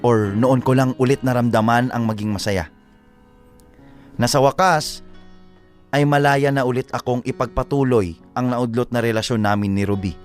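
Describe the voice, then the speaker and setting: A man plays a reflective young Filipino narrator in an audio drama. His medium-pitched voice confides earnestly in scripted first person, over clear backing music. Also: fairly even intonation, normal speed, natural voice. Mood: confessional.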